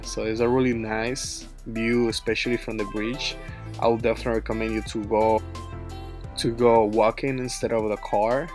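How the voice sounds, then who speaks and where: Laid-back Ecuadorian-accented man, low and flat. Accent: Ecuadorian Spanish. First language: Spanish. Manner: chatty, loose, many fillers, drops Spanish words. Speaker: travel vlogger. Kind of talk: casual travel vlog narration.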